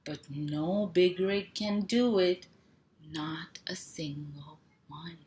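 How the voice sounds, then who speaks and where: Slow-paced woman reading to young children, roomy echo. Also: fairly low pitch, darkish tone. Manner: sing-song, stretches and repeats sound words, asks children simple questions. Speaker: children's teacher reading a picture book aloud. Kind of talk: animated picture-book read-aloud for young children. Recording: roomy echo, clear background noise.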